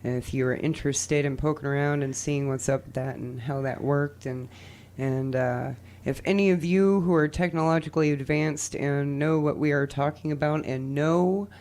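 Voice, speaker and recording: A woman with a low voice hosting a casual community radio show. She affirms her guest often and talks directly to her listeners in an easy, upbeat way. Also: steady tone, normal speed, a little mellow.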